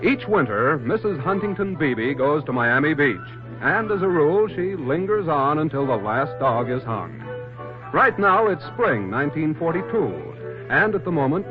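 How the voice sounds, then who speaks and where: A man narrating and announcing in scripted 1940s radio drama style. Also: a little high. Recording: muffled vintage 1940s radio recording, music behind.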